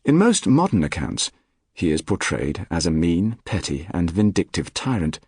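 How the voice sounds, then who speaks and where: A man reading a nonfiction audiobook in a measured, articulate, formal manner. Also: deep voice, husky, no echo, studio quality. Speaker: audiobook narrator. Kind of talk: scripted nonfiction audiobook narration. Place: dry recording studio.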